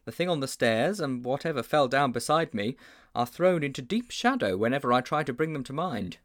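A man's voice: medium pitch, animated, fairly quick, fairly bright tone, lightly acted. A man voicing an earnest, slightly pompous schoolboy with precise, formal phrasing in an audiobook. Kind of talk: audiobook character voice.

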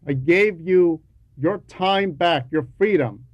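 A man delivering a teaching sermon in a deliberate, emphatic way, repeating key phrases for weight. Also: on the high side, no echo, highs cut off. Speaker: homilist reading a translated homily.

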